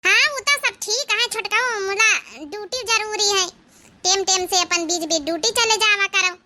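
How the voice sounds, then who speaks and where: A woman's voice: very high-pitched, normal speed, sharp tone, full character voice. A woman voicing a worried married elder sister in an Awadhi cartoon comedy, scolding and fretful in manner. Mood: nagging.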